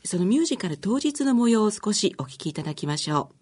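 A woman's voice: medium pitch, very animated, quick pace, darkish tone, breathy edge. A woman presenting and interviewing as a radio host, speaking politely and formally.

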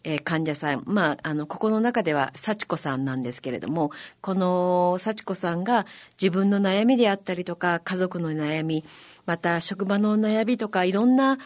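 A woman guest being interviewed, explaining politely and haltingly with many filler words. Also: on the low side. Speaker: guest, amateur musical performer.

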